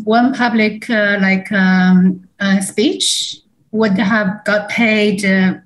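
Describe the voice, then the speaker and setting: A woman's voice: mid-range voice, poor audio. A woman from China in a focus group, speaking hesitantly with many fillers. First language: Chinese.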